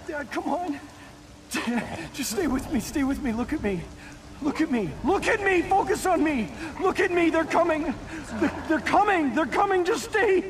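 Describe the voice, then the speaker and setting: A man playing a desperate, panicked policeman, crying out and pleading in an American accent in a video game drama. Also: extremely high pitch. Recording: distant from mic, loud outdoor noise.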